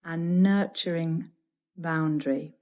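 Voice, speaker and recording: A woman leading a guided yoga nidra meditation as a teacher, soothing and deliberate, in measured phrases broken by pauses. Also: sits low, steady tone, a little mellow.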